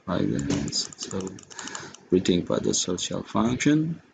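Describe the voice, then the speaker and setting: Slow, low-pitched Indonesian-accented man. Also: huge pitch swings, creaky, a little hiss or hum. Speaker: software tutorial presenter. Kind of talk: unscripted screen-recorded tutorial.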